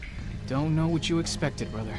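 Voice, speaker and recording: A man's voice: fairly high pitch, moderate pace, loud music behind the voice, natural voice. A young man playing a stern, dutiful older brother and Spartan warrior in a video game, curt and commanding with formal phrasing. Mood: firm.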